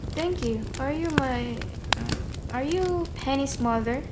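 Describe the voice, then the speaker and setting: A woman's voice: sits high, slow pace, heavy background noise. An actress playing a tender, secretive, wistful lady in a radio play. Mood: hesitant, sad.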